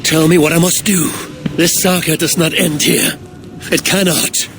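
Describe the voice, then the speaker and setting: A woman's voice: lowish voice, steady tone, measured pace, over music. A woman playing a proud, troubled Viking warrior in a video game, terse and grave. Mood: defiant. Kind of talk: dramatic video game acting. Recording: clear background ambience noise.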